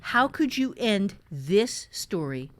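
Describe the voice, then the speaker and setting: Woman hosting a writing chat, average pitch, earnest, thinks out loud, repeats stuff for emphasis. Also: sing-song, slow pace. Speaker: podcast host and novelist. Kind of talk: casual conversational writing advice.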